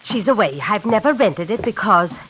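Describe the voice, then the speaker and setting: A secretive, devoted old landlady, voiced by a woman, speaks softly and wistfully. Her pitch rises and falls with feeling. It is an old 1940s radio recording with a faint hiss. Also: lively intonation, moderate pace, lightly acted, poor audio. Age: older. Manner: soft, hushed, wistful.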